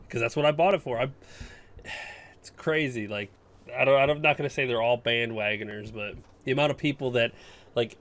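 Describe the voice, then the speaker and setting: Blunt man in casual chat. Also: medium pitch. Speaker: podcast co-host.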